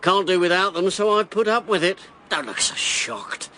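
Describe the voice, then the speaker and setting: Brash, high, forceful British political candidate. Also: male voice, animated, moderate pace, slight background noise. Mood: cynical, blunt. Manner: punchy, forceful, blunt. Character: brash, cynical, working-class-born war hero running for election. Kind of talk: British radio drama acting.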